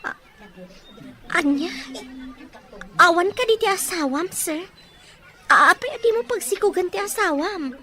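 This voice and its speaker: A woman plays a young Filipino woman in a radio-style drama, with a very high voice. She speaks hesitantly and questioningly, as if unsure of herself. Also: lively intonation, a little slow, long pauses, pushed and bright, full character voice. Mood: startled, questioning. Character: startled, hesitant young single woman of modest means. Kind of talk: Ilocano audio drama acting.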